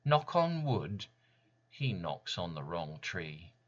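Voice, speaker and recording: A man reading his own poetry in a deliberate, theatrical manner with pauses. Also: deep voice, big pitch swings, dull audio. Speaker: poet reading own work. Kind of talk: dramatic poetry recitation.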